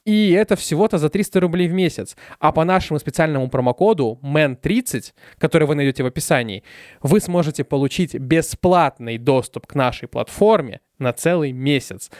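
Lively young man, dry close mic. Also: on the high side, a little fast, no echo. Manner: lively, animated storytelling with laughs. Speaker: podcast co-host. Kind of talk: casual podcast chat with sponsor read.

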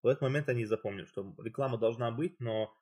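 A man's voice: medium pitch, fast, a little mellow, slightly rough. A man hosting a casual chat, explaining at length with loose phrasing and fillers. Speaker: podcast host.

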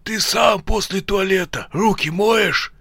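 A man's voice: high-pitched, slow pace, gravelly, bright, forceful tone, slightly rough, no room sound, voice pushed up, exaggerated voice. A grumpy older bandit as a male narrator puts him on in a crime story. He scolds and frets in a raised, tense voice, recorded close and dry. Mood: grumbling.

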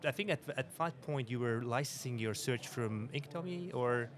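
Male podcast host, mid-pitched voice, loose rambling questions, lots of um's. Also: moderate pace. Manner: rambling questions, many restarts and fillers. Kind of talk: interview hosting. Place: conference forum venue.

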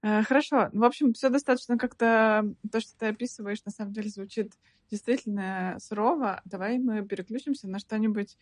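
A woman's voice: medium pitch, fairly quick, dry recording, studio quality. A woman hosting a podcast, asking her guest friendly follow-up questions. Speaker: podcast host. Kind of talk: casual podcast interview.

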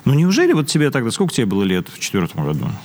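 Lively, challenging male interviewer. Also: mid-range voice, very fast, in a large hall. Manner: challenging, sardonic questioning. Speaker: interviewer, show host. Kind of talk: interview conversation.

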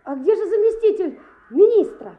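An actress playing an excitable young schoolchild in a Soviet radio play. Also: female voice, very high-pitched, moderate pace. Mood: eager. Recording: dull roomy old radio, noisy.

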